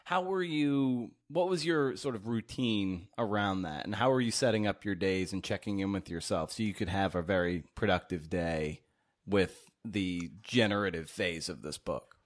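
Low-pitched male podcast host. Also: normal speed. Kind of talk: conversational interviewing.

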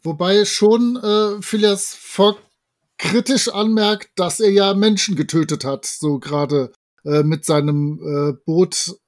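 A man with a clearly high-pitched voice speaks as a podcast co-host. He discusses a story casually, feeling his way through wordy sentences and slipping in mock-formal phrases. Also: slow pace. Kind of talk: casual discussion of an audio drama.

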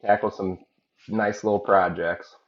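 A man's voice: fairly low pitch, fairly even intonation, a little slow, muffled recording. A guest man from Illinois, a landscaping business owner, talking in a laid-back, plain-spoken way on a podcast. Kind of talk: casual chat.

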